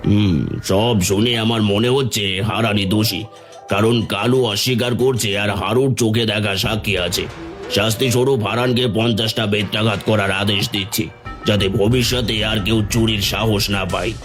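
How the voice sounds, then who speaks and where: Casting: man as stern, pompous moneylender-judge, lowish voice, cartoon folk tale, music behind. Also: fairly even intonation, normal speed, close to an everyday voice. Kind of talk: Bengali fairy tale cartoon dialogue.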